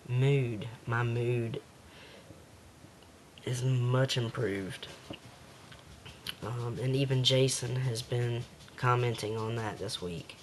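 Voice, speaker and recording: A man with a slightly raspy voice talking hesitantly in a casual home video diary, with many pauses and fillers. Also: medium pitch, steady tone, a little hiss or hum.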